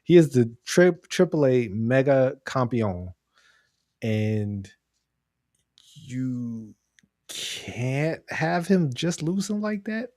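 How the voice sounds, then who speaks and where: A man's voice: medium pitch, big pitch swings, very slow, darkish tone, no echo, studio quality. A man co-hosting a podcast in casual chat, giving his opinions and repeating phrases for emphasis. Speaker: podcast co-host. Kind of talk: casual chat, pro wrestling commentary.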